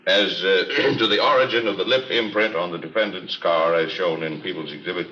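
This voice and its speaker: An actor playing a courteous, methodical prosecutor in an old radio courtroom drama, asking questions in a formal, deliberate manner. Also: male voice, sits low, steady tone, pushed and bright. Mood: formal, businesslike. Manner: formal, deliberate questioning with throat-clearing and hesitations. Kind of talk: 1950s radio drama acting. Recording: muffled, noisy old radio recording.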